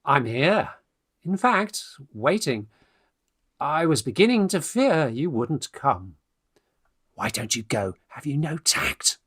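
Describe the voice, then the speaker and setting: An actor playing a flustered young Victorian gentleman in a radio farce, British, stammering and exclamatory, eager in courtship and bossy with friends. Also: a little high, big pitch swings, slow pace, slightly rough, full character voice. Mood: awkward, insistent. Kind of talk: stage farce acting for radio play.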